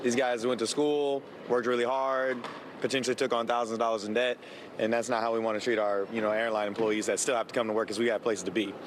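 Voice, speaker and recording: Man, quick pace, casual vox-pop answer, clear vehicle noise. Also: mid-range voice, little pitch movement. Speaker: traveler interviewed.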